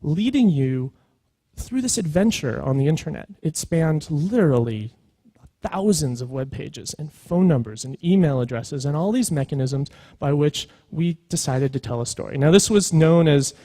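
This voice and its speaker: A man in his thirties speaking at a conference in a conversational way, pausing deliberately for emphasis. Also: a little high, animated, mellow, no echo, poor audio. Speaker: conference speaker, storytelling professional. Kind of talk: informal conference talk.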